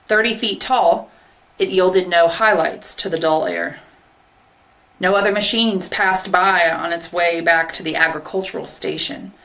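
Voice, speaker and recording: A woman's voice: on the low side, steady tone, a little slow, a bit bright, low-quality recording. A woman English teacher reading a short story aloud as scripted narration.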